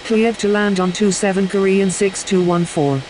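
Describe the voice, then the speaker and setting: A businesslike airline pilot, played by a performer in a flight game, makes scripted radio calls. The voice is mid-pitched, over a faint hiss. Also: fairly even intonation, normal speed, lightly acted.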